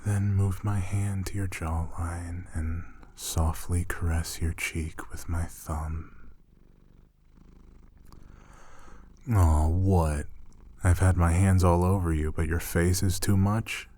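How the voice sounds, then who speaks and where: Deep, slow, tender boyfriend-style roommate acted by a man. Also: flat delivery, no echo. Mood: intimate, playful. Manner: soft-spoken, tender, playful teasing. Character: affectionate, teasing male roommate gently pampering a shy catgirl. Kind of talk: intimate ASMR roleplay audio.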